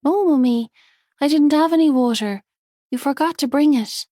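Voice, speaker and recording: A woman's voice: sits high, normal speed, warm tone, dry recording, studio quality, pitched above the performer's own voice, close to an everyday voice. A woman voicing a small, frightened young girl who speaks earnestly and insistently.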